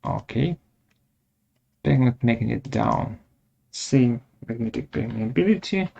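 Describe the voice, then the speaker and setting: A man presenting a step-by-step software tutorial in short, halting instructional phrases, with a gravelly voice. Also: medium pitch, lively intonation, lo-fi sound.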